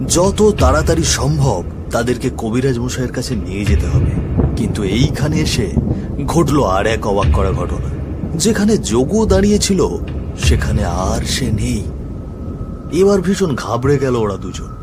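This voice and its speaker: A man's voice: medium pitch, sing-song, normal speed. A man narrating a scripted ghost story as the storyteller. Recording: music bed under the voice.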